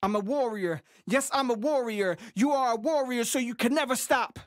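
Brief: man in his thirties, native Spanish speaker, rhythmic freestyle rapper, high-pitched and very dry studio booth sound. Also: moderate pace, no room sound. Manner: rhythmic, chanting, percussive vocal beats. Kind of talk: freestyle rap performance mixing English and Spanish. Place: small recording booth. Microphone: studio condenser mic with headphones.

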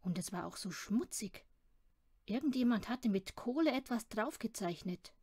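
Higher-pitched woman reading a children's story. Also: expressive, moderate pace, a little mellow, breathy edge, no room sound, studio quality. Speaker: audiobook reader. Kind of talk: scripted children's story narration.

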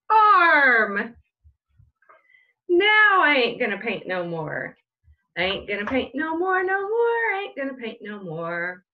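A middle-aged woman reading a children's picture book aloud in a sing-song way, with drawn-out, emphatic delivery. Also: fairly high pitch, muffled recording. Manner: drawn-out build-ups with loud emphatic punch words.

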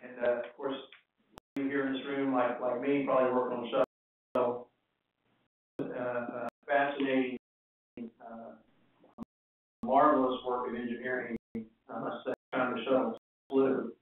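Distant, echoey American man presenting, even-toned. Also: medium pitch, measured pace, long pauses. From East Coast of the United States. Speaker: keynote speaker, aerospace engineer. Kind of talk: conference presentation with slides. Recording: distant, echoey, narrow phone-like sound, faint noise.

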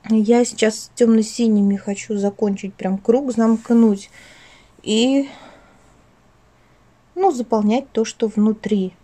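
Mellow-voiced woman chatting casually. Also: on the high side, steady tone, slow, pauses often. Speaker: craft vlogger, channel host. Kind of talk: casual chatty craft vlog. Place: at home, indoors.

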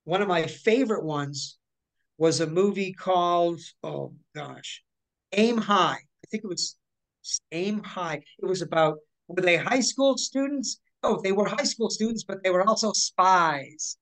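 A woman in her forties, a voice actor, telling animated stories with lots of restarts over a video call from her living room. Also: lowish voice, measured pace, low-quality recording. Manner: chatty, animated, with many restarts and hand gestures. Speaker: guest voice actor. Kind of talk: casual interview storytelling.

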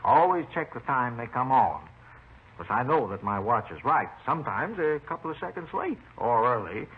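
An actor playing a garrulous, folksy, meticulous retired railroad engineer who carves wood, in a 1950s radio drama. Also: male voice, mid-range voice, very animated, moderate pace, slightly rough. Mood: chatty, precise. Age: older. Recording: noisy old radio recording, narrow phone-like band.

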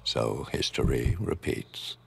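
A man's voice: a bass voice, slow pace, gravelly, breathy edge, heavily acted. A man voicing a solemn storyteller narrating the prologue of a fantasy video game. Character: solemn storyteller of ancient legend.

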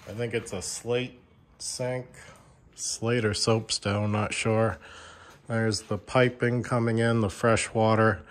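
A man's voice: on the low side, level tone, slow pace. A man giving a plain, matter-of-fact walkthrough of his building site, filming on a handheld phone outdoors. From Vermont. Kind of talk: casual walkthrough narration. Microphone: handheld phone camera.

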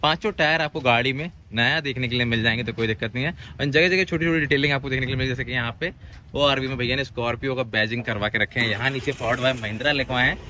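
A man's voice: medium pitch, fast talker, sharp tone. A man hosting a casual car walkaround vlog, chatty and informal, asking questions as he goes. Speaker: car vlogger, host. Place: open-air used car lot, partly inside car. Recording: outdoor ambient noise.

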